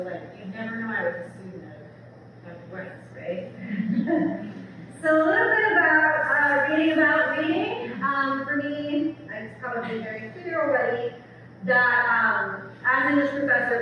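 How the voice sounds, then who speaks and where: A woman speaking as an English professor giving a public book talk in a conversational manner, often checking in with her listeners. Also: fairly high pitch. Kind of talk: public lecture, semi-scripted. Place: large reverberant room, recorded from afar. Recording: distant mic, echoey hall, noisy background.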